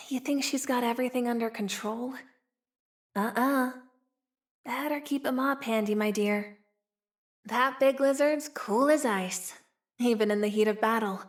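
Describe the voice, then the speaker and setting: A young woman voicing a playful, streetwise, wry frontier woman with a tail in a video game. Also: fairly high pitch. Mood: teasing, wry. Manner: teasing, sly, drawling, sighing between wry remarks. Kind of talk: video game character voice lines.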